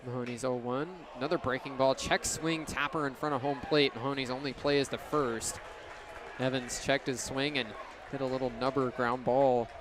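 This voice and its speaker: A man's voice: medium pitch, fairly even intonation, normal speed. A man in his twenties doing live baseball play-by-play on the radio in clipped, descriptive phrases. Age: 20s. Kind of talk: live sports commentary. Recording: crowd and outdoor ballpark noise behind. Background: ballpark crowd.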